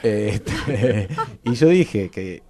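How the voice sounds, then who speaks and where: A man's voice: fairly low pitch, lively intonation, very slow, a crowd in the background. Joking Argentine male radio co-host. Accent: Argentine Spanish. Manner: jokey, laughing while talking. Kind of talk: casual radio chat. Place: small radio studio. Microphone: studio mic with headphones.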